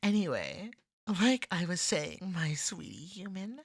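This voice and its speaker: Clingy, jealous cat person played by a woman for ASMR roleplay. Husky, unhurried, exasperated wit, close dry sound. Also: fairly low pitch, big pitch swings, dry recording, full character voice. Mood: devoted, wheedling. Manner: wry, exasperated, wheedling. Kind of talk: scripted ASMR roleplay acting.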